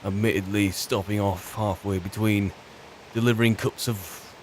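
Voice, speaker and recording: A man with a British accent roleplaying a weary, chatty wizard's servant turned adventurer, musing in a wry, halting, rambling way. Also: lowish voice, fairly even intonation, a little hiss or hum, natural voice. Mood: wistful musing. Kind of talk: in-character game roleplay monologue.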